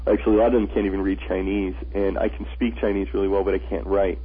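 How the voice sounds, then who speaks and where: A man's voice: deep voice, little pitch movement, fast, slightly rough. A man who is the guest on a radio interview, an author and traveler telling his stories earnestly, often restarting his sentences. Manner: earnest storyteller, frequent restarts. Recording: tinny phone-line radio audio.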